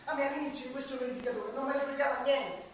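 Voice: high-pitched, fairly even intonation, very fast, natural voice. An actor playing a naive, plaintive, let-down dreamer in a comic drama, recorded from far off in an echoey space. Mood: plaintive, sheepish. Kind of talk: theatrical comic drama acting. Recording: very echoey, distant recording, faint noise.

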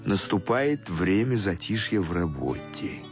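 A man with a low voice narrates literary prose for a radio play. His delivery is measured and scripted, and soft music plays faintly behind him. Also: sing-song.

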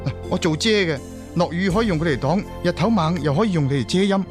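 A man's voice: fairly high pitch, lively intonation, quick pace, music in the background, lightly acted. A man playing a shy, earnest young umbrella maker in a drama, with a hesitant, bashful manner. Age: young adult. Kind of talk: broadcast drama acting.

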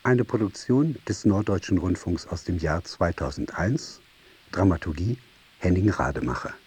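A man's voice: sits low, expressive, moderate pace, a little mellow, a little hiss or hum. A man reading scripted credits as a radio play announcer. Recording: prominent music under the voice.

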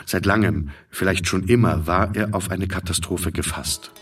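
A man's voice: deep voice, normal speed, far from the mic, faint music behind. A man narrating a literary audio drama from a script.

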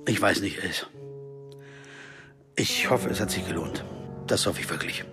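An actor playing a brooding, driven jazz pianist turned amateur sleuth in a German radio drama. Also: male voice, sits low, unhurried, over music. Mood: bitter, resigned. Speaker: lead actor and narrator. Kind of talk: German radio drama acting with first-person narration.

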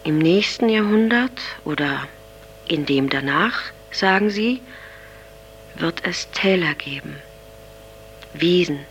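A performer playing a gentle, solemn voice from the past, reciting verse deliberately with long pauses. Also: medium pitch, expressive, slight background noise. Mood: solemn, tender. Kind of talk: slow poetry recitation in an audio drama.

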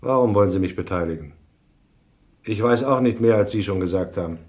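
A man's voice: fairly low pitch, a little fast, a little mellow, lightly acted. An actor playing a hardboiled, laconic private detective in a radio drama, narrating his case tersely and dryly. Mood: tough, probing. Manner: terse, dry, unimpressed.

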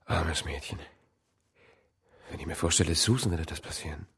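An actor playing a lonely, broken man in a radio drama, halting and confessional, with a breathy, creaky voice. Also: extremely low pitch, a bit bright, full character voice. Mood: sombre. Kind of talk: naturalistic German radio drama acting.

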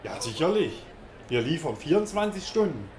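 A man's voice: fairly high pitch, sing-song, moderate pace, a little mellow, echoey room, a little hiss or hum. A man playing a self-important, eager, strict and boastful father in a comedic radio play. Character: boastful strict father, proud chicken-wing dispatch boss.

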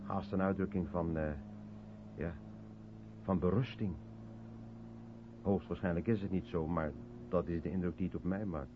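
Actor as a low-voiced, reflective sceptical man. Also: fairly even intonation, normal speed, long pauses, phone-quality sound, lightly acted. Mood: musing, uneasy. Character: reflective, sceptical South African man of colour, probing. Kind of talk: 1970s Dutch radio play acting. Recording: thin, noisy old radio recording with hum.

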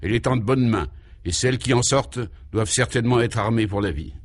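A man's voice: medium pitch, fast, natural voice. A man playing a courteous, easily moved male detective in a comic radio serial, speaking with mock earnestness. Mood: solemn, courteous.

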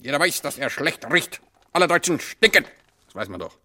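An actress playing a brash, cheeky, ignorant local who teases and mocks playfully in a drama. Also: female voice, sits low, sing-song, brisk, bright, forceful tone, exaggerated voice. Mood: curious, blunt. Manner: boisterous, teasing. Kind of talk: stage-style drama acting.

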